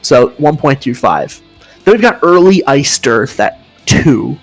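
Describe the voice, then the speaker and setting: A man with a high voice co-hosting a casual podcast chat, explaining with enthusiasm and humming riff sounds. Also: big pitch swings, measured pace, soft background music. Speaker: podcast co-host.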